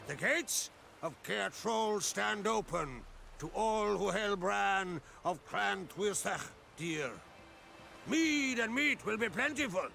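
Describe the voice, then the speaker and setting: A proud island clan leader from a fantasy game proclaims to his people in a raised, high voice full of gravel. He is commanding and declamatory, taking his time, with faint music behind. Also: expressive, slow, a little hiss or hum, full character voice. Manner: forceful, declamatory.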